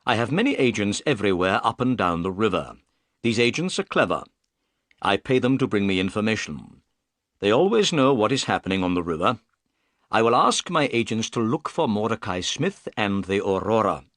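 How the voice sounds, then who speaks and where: A man reading the part of a calm, polite detective in a storybook, speaking firmly and briskly. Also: lowish voice, dry recording, studio quality. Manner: firm, clipped, quick delivery.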